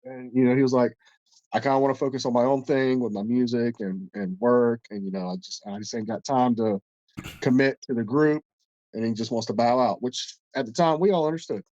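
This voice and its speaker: A man's voice: medium pitch, steady tone, brisk, a little mellow, dry recording, dull audio. A man co-hosting a casual podcast, stuffed up and congested, telling a long story in a rambling, profane way with many restarts. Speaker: podcast co-host.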